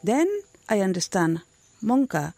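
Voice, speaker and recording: A woman's voice: mid-range voice, expressive, measured pace, vocal fry, dark tone, soft background music. A woman giving a translated first-person voice-over, telling a story as an interpreter.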